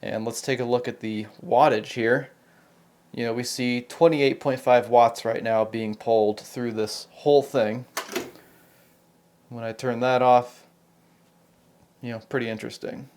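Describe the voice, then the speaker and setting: A man's voice: mid-range voice, fairly even intonation, a little slow, long pauses, darkish tone. An American man giving an unscripted, hands-on technical demonstration, talking casually and thinking aloud as he works through it as host. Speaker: host of a repair video channel.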